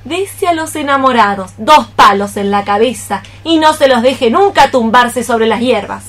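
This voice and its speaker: A woman's voice: on the high side, animated, fairly bright tone. An actress playing a scolding, scheming old mother cockroach in a theatrical, exclamatory radio play style. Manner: exclamatory, theatrical, drawn-out phrases. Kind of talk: radio theatre acting in archaic poetic Spanish.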